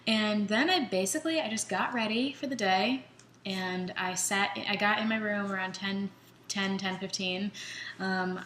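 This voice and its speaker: A young adult woman vlogging in a casual, chatty way, candidly retelling her own experience and acting out her own thoughts. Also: mid-range voice. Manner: chatty, candid, animated retelling with quoted self-talk. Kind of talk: casual chat to camera. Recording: roomy echo, faint background noise.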